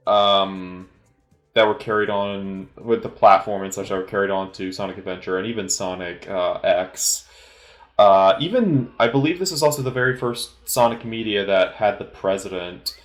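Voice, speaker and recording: A man giving an informal solo movie commentary in a halting, rambling, hesitant way. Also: fairly low pitch, animated, echoey room, faint music behind. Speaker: film commentator.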